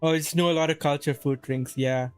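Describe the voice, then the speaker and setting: A man's voice: on the high side, fairly even intonation, quick pace, clear, resonant tone, soft background music. A man from Saudi Arabia casually chatting on a live stream, rambling and answering chat as he plays.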